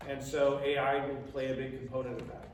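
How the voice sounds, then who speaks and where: A man's voice: mid-range voice, level tone, fairly quick. A middle-aged American man talking casually and emphatically at a convention Q&A, in an echoing, noisy hall. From Colorado, United States. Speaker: voice actor, panel guest. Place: large indoor convention room with audience. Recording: very echoey noisy hall, distant microphone.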